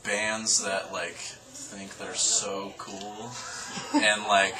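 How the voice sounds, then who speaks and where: A man's voice: fairly low pitch, little pitch movement, extremely slow, a crowd in the background, low-quality recording. A man in his twenties from Indiana, a band singer, joking offhandedly in a small room. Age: 20s. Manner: jokey, offhand.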